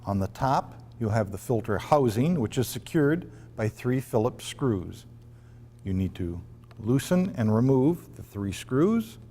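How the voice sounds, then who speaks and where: Slow, mellow man in an echoing room. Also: mid-range voice, big pitch swings. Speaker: product demonstrator. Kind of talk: step-by-step instructional demonstration. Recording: long reverberant room echo.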